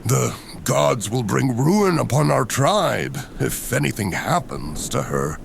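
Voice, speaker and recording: Guy doing a big grave warrior, super gravelly, pitch moving around a lot, fantasy tale. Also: sing-song, takes it slowly, slight background noise, exaggerated voice. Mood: grave, foreboding. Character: massive superstitious male warrior champion, grave and devoted.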